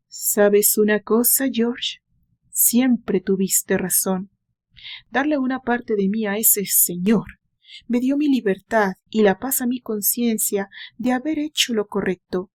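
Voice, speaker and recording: A woman plays a wounded young man in an audio novel. The voice is fairly high, plaintive and heavy with old resentment, speaking in a dramatic monologue. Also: darkish tone. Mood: resigned, reflective. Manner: plaintive, sorrowful. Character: wounded, resentful young man recalling his absent father.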